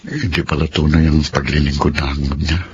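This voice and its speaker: A gentle, principled doctor in a Filipino radio drama. He speaks in a very low, measured voice, polite but firm, over a dull recording with faint noise. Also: flat delivery. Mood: firm, apologetic. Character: principled, gentle male doctor, steady husband.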